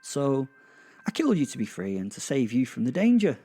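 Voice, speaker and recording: A man playing a remorseless, cocky werewolf killer, narrating a horror audio drama in a wry, deliberate, musing, sweary way. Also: medium pitch, wildly swooping pitch, dark tone, slightly rough, soft background music. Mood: reflective, cold. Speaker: narrator and protagonist. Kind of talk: first-person dramatic narration.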